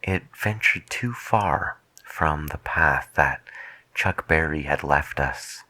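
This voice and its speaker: Very deep, hushed male late-night DJ. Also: no echo. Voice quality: breathy, whispery. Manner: hushed, unhurried, musing. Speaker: late night radio DJ host. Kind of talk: soft-spoken ASMR radio DJ roleplay. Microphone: close microphone.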